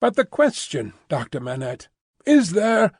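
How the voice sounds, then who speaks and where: A man's voice: high voice, very animated, a little slow, husky, no echo, studio quality, voice pushed up, exaggerated voice. A man voicing a fussy, fiercely loyal Englishwoman housekeeper who talks emphatically and primly. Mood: emphatic. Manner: emphatic, bustling, prim.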